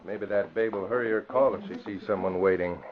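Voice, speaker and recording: An actor playing a wisecracking male racketeer sidekick in a 1940s radio drama, easygoing and quick to joke. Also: low voice, crowd noise, lightly acted. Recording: dull hissy old radio recording.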